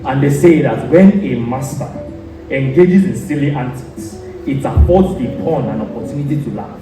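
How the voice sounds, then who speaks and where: Royal brother played by a man, medium-pitched, emphatic stage declamation, distant in a very echoey theatre. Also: animated, normal speed, natural voice. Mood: troubled, guarded. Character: royal brother, proverb-speaking troubled nobleman. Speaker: student stage actor. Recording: echoey theatre from far off, music.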